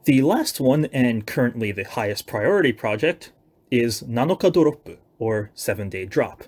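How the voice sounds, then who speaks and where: A man's voice: mid-range voice, big pitch swings, normal speed, darkish tone, breathy edge. A young man giving a casual, loosely planned announcement of his own writing projects, with self-deprecating, hedging asides. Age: young adult.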